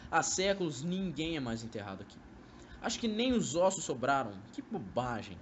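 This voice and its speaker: A man's voice: fairly high pitch, very animated, normal speed, slight background noise. A young man acting a sly, coaxing former lover in an amateur radio drama, speaking Brazilian Portuguese with poetic, theatrical delivery. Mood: coaxing, impatient.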